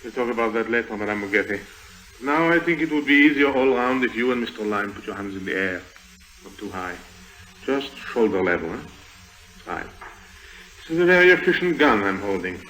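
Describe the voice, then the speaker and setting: A menacing male villain of an old radio thriller. His lowish voice has a hard, bright edge, and he speaks with suave, pedantic politeness. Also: expressive, fairly quick, pauses often, low-quality recording. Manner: mock-polite, pedantic, silky threats. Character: suave, menacing male criminal doctor with a gun. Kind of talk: 1950s radio drama acting.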